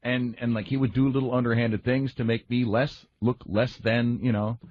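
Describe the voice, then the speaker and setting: A man in a casual radio discussion, speaking in a halting, rambling, aggrieved way. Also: mid-range voice, fairly even intonation. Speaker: radio show staff member and comedy writer. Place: radio studio. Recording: slightly dull, lo-fi radio audio.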